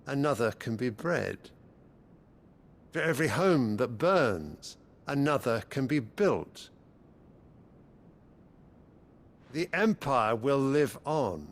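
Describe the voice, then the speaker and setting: A callous middle-aged emperor in a fantasy game. His mid-pitched voice is thick with gravel, and he declaims in measured, regal phrases with cold calculation. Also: heavily acted. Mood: cold conviction. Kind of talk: fantasy video game cutscene acting.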